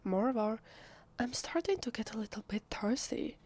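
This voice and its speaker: Woman acting a breathy Italian-accented vampire in disguise. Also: on the high side, expressive. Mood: evasive, uneasy. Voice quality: soft, husky, breathy, half-whispered. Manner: hesitant, slow, drawn-out. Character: evasive, flustered female vampire posing as human. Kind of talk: ASMR roleplay.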